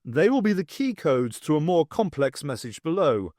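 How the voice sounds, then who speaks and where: A man's voice: on the high side, sing-song, moderate pace, a little mellow, dry recording, studio quality. A man voicing an argumentative, stiffly logical alien servitor with clipped, formal speech in an audiobook. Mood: assertive.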